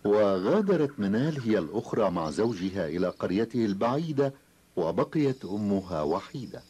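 A man's voice: fairly low pitch, sing-song, a little fast, warm tone, husky. A man narrating a children's cartoon tale in formal Arabic as a solemn storyteller. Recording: noisy, hissy old cartoon soundtrack.

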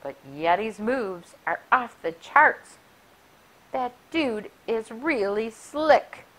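A middle-aged woman reading a rhyming picture book aloud for children in an expressive, deliberate, sing-song way. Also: fairly high pitch, rough recording. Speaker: library story time reader. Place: small furnished room indoors. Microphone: clip-on lapel mic.

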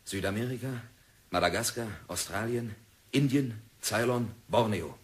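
An actor playing a stubborn, zealous young geologist in a German radio play, speaking earnestly and insistently, with deference to a superior. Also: male voice, on the low side, moderate pace, natural voice. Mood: earnest conviction. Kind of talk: German radio drama acting.

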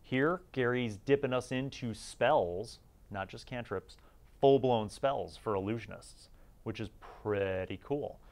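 A man's voice: medium pitch, unhurried, a little mellow. A middle-aged man giving casual, opinionated review commentary in an informal, conversational way as the host of a series.

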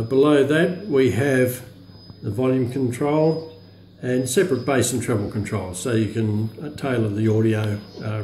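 A man giving a casual, unscripted product demonstration, halting and hesitant as he thinks aloud. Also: mid-range voice, darkish tone. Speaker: radio reviewer. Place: indoor room in a home. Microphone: handheld phone camera. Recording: roomy phone recording with background noise.

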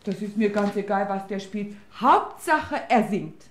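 A woman's voice: mid-range voice, lively intonation, unhurried, close to an everyday voice. A woman playing a gushing, easily exasperated wife in a comic radio play. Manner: gushing, dramatic, scolding. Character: swooning, exasperated wife besotted with TV singer. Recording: old radio play with music behind.

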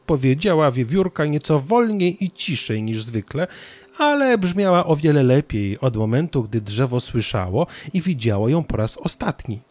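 Animated male storyteller. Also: medium pitch, moderate pace, no room sound. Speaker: narrator. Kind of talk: scripted children's story narration.

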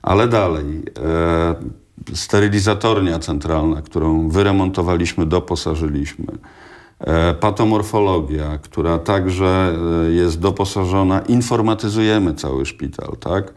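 Studio guest, man in his 60s, very low voice, slow measured answers full of hesitations. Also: a little mellow. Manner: measured, hesitant, many filler sounds. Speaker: hospital director, studio guest. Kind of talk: radio studio interview answers. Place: small TV studio.

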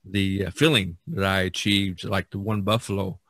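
A man with a fairly low voice hosts a casual podcast discussion. He speaks haltingly, circling back over words as he works out his thought. The sound is dry and close, with little room in it. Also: little pitch movement, fairly bright tone, dry recording. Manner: halting, repeating words as he thinks aloud. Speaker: podcast host. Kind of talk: casual discussion of art and history.

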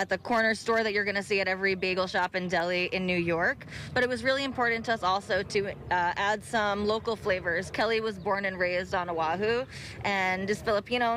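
An upbeat young woman talking about her food business in a live TV interview. She speaks outdoors into a handheld mic at a middle pitch. She explains and lists her menu items in a friendly, easy way. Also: fairly bright tone, a little hiss or hum. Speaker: bagel business owner. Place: outdoor park by the ocean. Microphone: handheld reporter mic.

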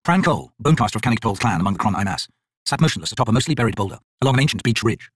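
Man, dramatic fantasy narration, husky voice, brisk pace, solemn, theatrical. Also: lowish voice, animated, fairly bright tone, dry recording, clean studio sound. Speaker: audiobook narrator, actor.

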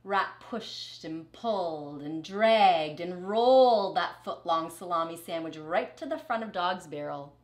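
A middle-aged woman reads a picture book to children in a teacherly way. Her pitch moves expressively and her pace is measured. The sound is echoey, like a living room. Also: expressive. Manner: teacherly, dramatic phrasing. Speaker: storybook reader. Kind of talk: expressive children's read-aloud.

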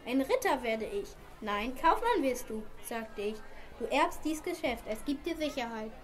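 A woman plays a wealthy merchant father in an amateur radio play. The voice is very high, proud and emphatic, declaiming like someone deeply aggrieved. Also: expressive, normal speed. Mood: reminiscing.

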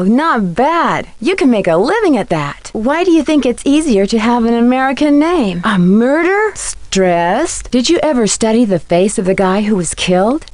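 An actress playing a plucky, curious young female amateur detective in a video game, clearly enunciated and inquisitive. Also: fairly high pitch, a little mellow, no echo. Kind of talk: video game dialogue, questioning.

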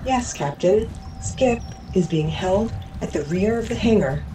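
A woman's voice: medium pitch, expressive, unhurried, far from the mic, background noise, close to an everyday voice. A woman playing a serene, literal-minded artificial crewmate in a sci-fi audio drama, speaking formally and precisely. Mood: calm, matter-of-fact.

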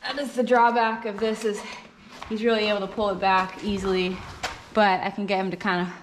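A young woman giving a casual hands-on hoof trimming tutorial, thinking aloud in short, halting phrases as she works in a barn. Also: medium pitch, little pitch movement. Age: young adult. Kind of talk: casual hands-on demonstration talk. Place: indoor barn with concrete floor. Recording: clear rasping and clattering noise. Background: filing, scraping and clatter of tools.

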